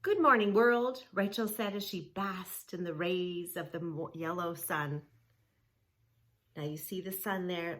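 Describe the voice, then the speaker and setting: A woman reading a story aloud and guiding preschoolers through yoga poses, speaking simply and encouragingly, straight to the child. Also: fairly low pitch. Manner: simple, encouraging, addressing young children directly. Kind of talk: read-along story with yoga instruction for preschoolers.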